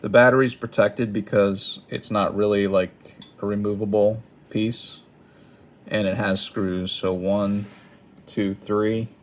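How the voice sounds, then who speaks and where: A man giving an informal hands-on product tutorial in a hesitant, halting, apologetic manner. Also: on the low side, level tone. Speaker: product demonstrator. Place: indoors at a wooden table.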